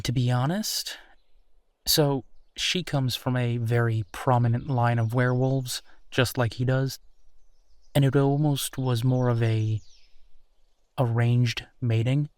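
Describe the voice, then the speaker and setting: Slow, hesitant man acting as a werewolf. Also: mid-range voice, no echo, lightly acted. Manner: halting, hesitant, sighing, conversational. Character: easygoing young male werewolf, awkward, candid, bitter about rival. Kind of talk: intimate audio roleplay to the listener.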